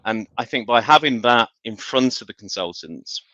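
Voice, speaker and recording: A man explaining things in a measured, consultant-like way as an expert on a business webinar. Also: medium pitch, expressive, bright, forceful tone, no room sound. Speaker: industry expert panelist. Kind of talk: conversational business webinar discussion. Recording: dull, band-limited online call audio.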